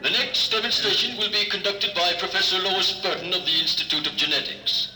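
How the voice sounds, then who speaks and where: An actor playing a formal announcer at an academic demonstration in a 1950s radio drama. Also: male voice, high voice, brisk, pushed and bright, faint music behind. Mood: formal, proclaiming. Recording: echoey, distant old radio recording.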